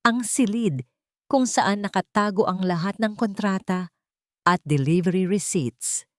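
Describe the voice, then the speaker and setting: A woman's voice: medium pitch, unhurried, no room sound. Dry-voiced woman narrating drama. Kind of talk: scripted dramatic story narration.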